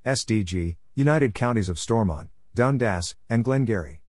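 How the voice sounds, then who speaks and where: A man reading short scripted items in a plain, deliberate announcing manner, spelling out letters and naming places. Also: fairly low pitch, lively intonation, a little mellow. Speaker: Morse code practice narrator.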